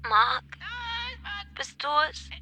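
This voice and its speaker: A woman playing a frightened, exhausted young hostage, speaking haltingly and anxiously in a radio drama. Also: sharp tone, soft background music, exaggerated voice.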